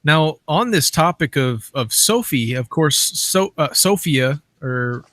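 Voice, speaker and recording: A man's voice: fairly high pitch, expressive, slow pace, a bit bright, dry recording. A man hosting an interview, setting up questions for his guest in a conversational style. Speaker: host, interviewer.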